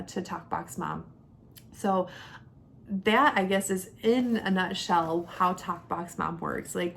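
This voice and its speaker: A woman in her thirties giving a casual, conversational product review as a homeschooling mom, explaining things step by step. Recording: clear background noise with low rumble.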